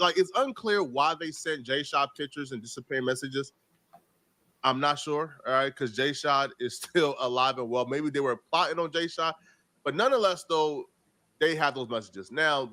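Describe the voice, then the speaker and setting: Guy doing casual court case reaction commentary, voice kinda high, swings up and down a lot. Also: expressive, moderate pace, pushed and bright.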